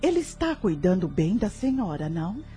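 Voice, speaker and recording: An actress playing a loyal, attentive maid in a radio drama, answering politely and deferentially. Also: female voice, mid-range voice, big pitch swings, warm tone, soft background music, lightly acted. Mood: chatty. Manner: deferential, quick replies.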